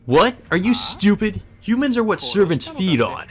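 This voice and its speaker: A sneering young mage villain, pitched very high and shrill. He swoops about scornfully, taunting like a spoiled anime antagonist in a visual novel. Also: big pitch swings, moderate pace. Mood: mocking, scornful. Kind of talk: visual novel character dub.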